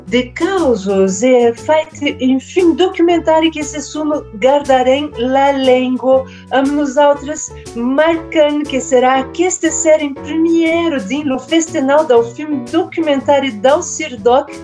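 A woman hosting a radio interview, asking measured, guiding questions. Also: sits high, mellow, faint music behind.

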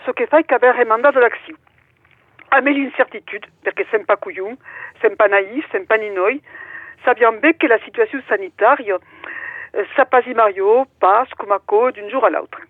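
A woman's voice: on the high side, moderate pace, husky, no echo. Woman on a tinny phone line. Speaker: activist, phone-in guest. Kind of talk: explanatory radio interview. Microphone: telephone.

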